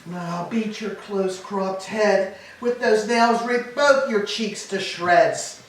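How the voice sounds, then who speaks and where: A woman's voice: medium pitch, slow pace, lightly acted. A woman playing a grieving, proud old enslaved queen, declaiming laments in a staged reading of Greek tragedy. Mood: anguished, bitter. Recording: distant, echoey hall with background noise.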